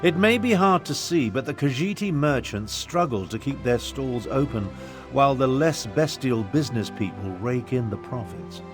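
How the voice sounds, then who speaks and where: An eloquent royal half-brother played by a male actor speaks in a medium-pitched voice over faint music. He is formal and theatrical, carefully articulate, with the indignation of a man exposing tyranny in a fantasy video game. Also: lively intonation, normal speed, close to an everyday voice. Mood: scornful, persuasive. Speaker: guide. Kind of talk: scripted video game dialogue.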